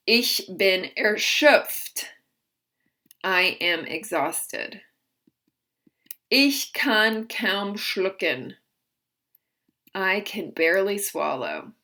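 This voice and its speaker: Woman teacher, bright tone, unhurried, carefully modeled phrases. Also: mid-range voice. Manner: slow, deliberate modeling of phrases with explanations. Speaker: German teacher. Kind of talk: recorded vocabulary lesson.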